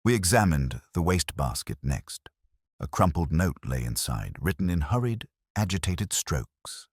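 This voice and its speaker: Very deep-voiced, brooding detective played by a man. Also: very animated, breathy edge, no room sound, studio quality, exaggerated voice. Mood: pensive, uneasy. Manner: measured, literary, brooding, deliberate. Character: melancholy, observant older male detective narrating his case. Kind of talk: scripted first-person mystery narration.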